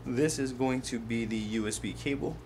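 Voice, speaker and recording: A man's voice: medium pitch, moderate pace, a little mellow. Man giving a tutorial over background noise. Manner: step-by-step, pointing things out as he handles them. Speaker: host of a how-to product video. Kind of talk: casual hands-on tutorial narration.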